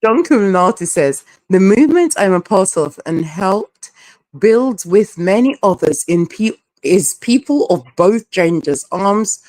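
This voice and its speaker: A woman's voice: medium pitch, very animated, unhurried, a little mellow, dry recording, low-quality recording. A woman from Canada hosting a casual live stream, reading out viewer comments and giving her opinions candidly.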